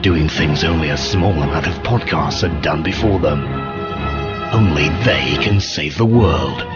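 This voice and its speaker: A man's voice: rumbling low, measured pace, dull audio. A man delivering a mock-epic movie trailer narration for a promo in a theatrical announcer manner. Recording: loud music bed. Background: loud music.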